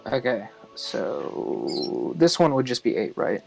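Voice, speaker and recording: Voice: medium pitch, wildly swooping pitch, slow pace, vocal fry, a little mellow. A tabletop roleplaying player chatting casually, asking questions about the rules in a hesitant way. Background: background music.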